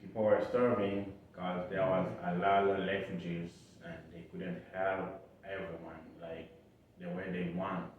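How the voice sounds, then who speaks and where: Young guy from uganda, halting answers, sounds far off, lots of echo, faint hum behind. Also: sits low, darkish tone. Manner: halting, with many fillers and restarts. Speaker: interview guest. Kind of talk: hesitant interview answers. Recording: echoey, distant, dull sound.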